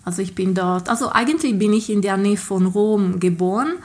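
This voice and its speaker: A woman's voice: mid-range voice, a little fast. A woman from Sardinia, a native Italian speaker with an Italian accent, chatting as a podcast guest and often searching for her words. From Sardinia, Italy. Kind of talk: casual interview chat.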